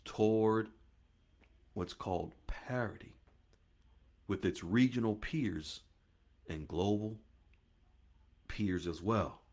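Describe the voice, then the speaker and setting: Slow, lively low-voiced man lecturing. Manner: preachy, repeats phrases and addresses listeners as "folks". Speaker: finance podcast host. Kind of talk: emphatic commentary monologue.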